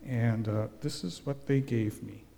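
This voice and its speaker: Gentle man telling a children's sermon. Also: medium pitch, measured pace, gravelly. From Hawaii. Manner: gentle, storytelling, asks children questions. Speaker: pastor giving a children's talk. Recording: long hall reverb.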